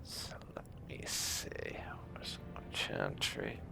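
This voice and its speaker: A man's voice: takes it slowly, in a whisper. A man hosting a casual roleplay playthrough of a game, musing and wry as he comments. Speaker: gaming video host. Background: game music.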